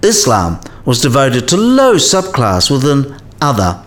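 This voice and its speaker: A man playing a cynical, sidelined professor in a radio play, explaining things in a wry, didactic way with measured phrasing. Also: medium pitch, wildly swooping pitch, a bit bright. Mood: dry lecturing. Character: cynical, sidelined male professor giving career advice.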